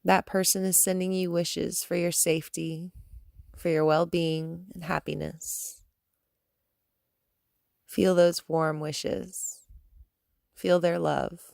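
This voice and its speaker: A woman guiding a meditation as a yoga teacher, speaking in a calm, soothing manner with gentle instructions and long pauses. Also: on the low side, creaky, no echo, clean studio sound. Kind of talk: guided meditation.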